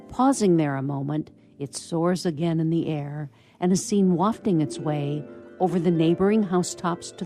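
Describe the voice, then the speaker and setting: Low, creaky-voiced woman narrator. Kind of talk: scripted radio play narration.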